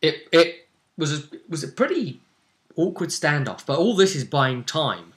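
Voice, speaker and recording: A man retelling a tabletop roleplay session as game master, in animated, emphatic conversational storytelling. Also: a little high, measured pace.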